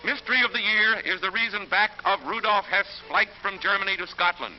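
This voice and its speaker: A man's voice: sits high, brisk, dull audio. An American man reading scripted 1940s newsreel narration in an urgent, emphatic, declamatory manner. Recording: old 1940s newsreel film soundtrack, band-limited. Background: loud orchestral music.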